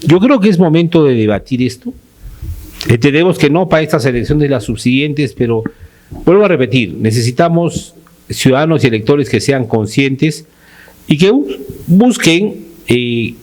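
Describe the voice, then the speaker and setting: A man's voice: medium pitch, lively intonation, moderate pace, a little mellow. A man from Peru debating on a talk panel, pressing his point with rhetorical questions.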